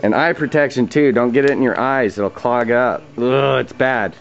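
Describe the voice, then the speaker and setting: A dull, lo-fi recording with radio music faintly behind a man of average pitch. He talks like a guy in his workshop, casual and slangy, walking viewers through a hands-on job in plain words. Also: moderate pace, vocal fry. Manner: informal, plain-spoken, slangy. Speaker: DIY auto body repair demonstrator. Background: radio music playing in the background.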